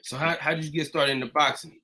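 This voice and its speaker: A man hosting a podcast, opening with loud hyped greetings and talking in a loose, slangy way. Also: on the high side, fairly even intonation, fast, low-quality recording. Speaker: podcast host. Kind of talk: casual podcast chat.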